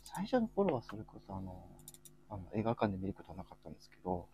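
A man's voice: low-pitched, very animated, fast talker, dark tone, a little hiss or hum. A man co-hosting a casual livestream, introducing topics in a polite, hesitant way. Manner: polite, hesitant with frequent fillers. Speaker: co-host of a group chat livestream.

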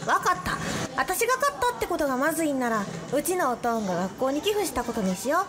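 Actress as a pushy teasing schoolgirl, kansai accent, high swoopy voice, some noise behind her. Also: big pitch swings, fast. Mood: brash, generous. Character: brash, generous, passionate high school girl, teasing friend. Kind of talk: Japanese anime-style radio drama acting.

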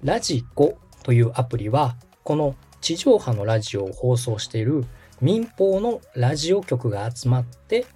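A man in his thirties from Osaka hosts a podcast, walking his guest through the basics politely and patiently. His voice is mellow and slightly husky, with a lively rise and fall in pitch. Faint music plays behind him. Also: normal speed, few pauses. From Osaka, Japan. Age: 30s. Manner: polite, patient, step-by-step explaining. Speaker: podcast host, radio director. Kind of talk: explanatory podcast talk.

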